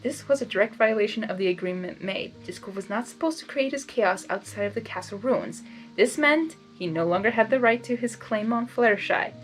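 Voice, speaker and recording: A high-voiced woman reads fan fiction aloud in an animated way. She is giggly and self-deprecating, stumbling over words and slipping into casual asides. Music plays behind her. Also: a little fast. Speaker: amateur fan fiction reader. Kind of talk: blind reading of a story aloud with casual asides.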